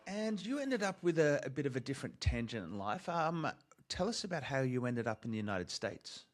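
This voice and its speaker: Aussie bloke hosting a podcast, mid voice, laid-back and chatty. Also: male voice, mid-range voice, very animated, a little fast. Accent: Australian. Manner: friendly, informal, says "mate". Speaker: podcast host. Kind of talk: casual interview chat.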